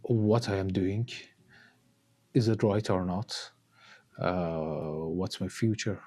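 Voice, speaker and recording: Slow, deep-voiced Iranian man, hesitant. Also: mellow. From Iran. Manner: hesitant, pausing with many uh fillers. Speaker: artist guest. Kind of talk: casual interview chat.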